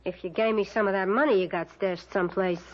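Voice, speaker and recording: A woman playing a nagging, suspicious, sharp-tongued wife in an old-time radio drama, sarcastic and scolding. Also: mid-range voice, fairly even intonation, fast, lightly acted. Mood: sarcastic, accusing. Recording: dull lo-fi radio recording.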